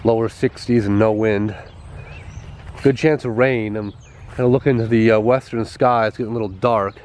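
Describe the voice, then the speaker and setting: Low flat-voiced man, stuffed up. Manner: halting, with many pauses and fillers. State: stuffed-up, congested voice. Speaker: vlogger. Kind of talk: casual walk-around vlog narration. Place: outdoors at a rural schoolhouse.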